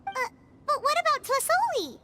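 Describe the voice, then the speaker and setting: A woman voicing a small, chatty, excitable fairy companion in a childlike anime game style. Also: moderate pace, background noise. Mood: worried. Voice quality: squeaky, childlike. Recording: music behind the voice.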